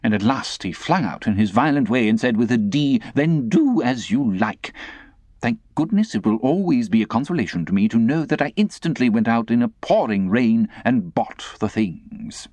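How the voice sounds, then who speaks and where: A man's voice: mid-range voice, sing-song, moderate pace, darkish tone, no echo, pitched above the performer's own voice. A man voicing an indignant, self-pitying middle-aged lady in a storybook, plaintive, fussy and self-righteous.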